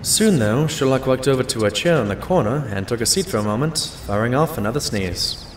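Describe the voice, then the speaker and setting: An actor playing a modest, loyal pony doctor who narrates and talks in an audio drama in an earnest, expressive way. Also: male voice, mid-range voice, fairly quick, slight background noise, lightly acted. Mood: reflective narration.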